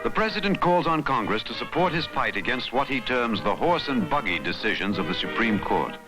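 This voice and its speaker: A man's voice: medium pitch, big pitch swings, a little fast, low-quality recording. A man with an American accent reads scripted documentary narration in a dramatic, emphatic newsreel style. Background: background music.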